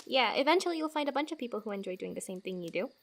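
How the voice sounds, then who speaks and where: A woman playing a friendly, teasing fantasy wanderer who gives comfort in a soft-spoken, giggly, rambling ASMR roleplay. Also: fairly high pitch, lively intonation, racing. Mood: encouraging.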